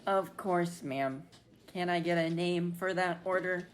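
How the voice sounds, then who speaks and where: A woman plays a polite but firm young barista in a radio play. The voice is lowish and earnest, with faint background noise. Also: unhurried, natural voice.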